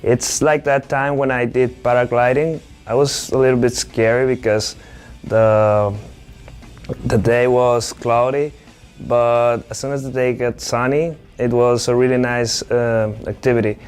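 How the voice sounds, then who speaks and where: A man from Colombia, a student, talking in a relaxed way with some fillers. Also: mid-range voice, unhurried, clean tone, faint music behind. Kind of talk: recorded personal statement.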